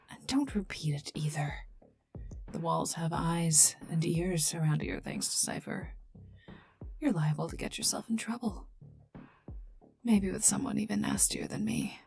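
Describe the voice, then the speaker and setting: A woman's voice: on the low side, vocal fry, full character voice. A woman playing a haughty, prickly poisoner agent in an ASMR roleplay, dry and sarcastic, often dropping near a whisper. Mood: guarded, menacing. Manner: dry, sarcastic, scornful, unhurried. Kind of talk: ASMR roleplay addressed to listener. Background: calm ambient game music.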